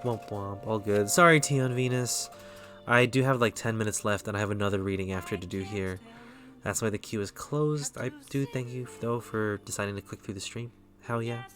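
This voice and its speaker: A man with an American accent giving a relaxed, rambling tarot reading on a live stream, often stopping to correct himself. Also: fairly low pitch, normal speed, faint music behind. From Philippines.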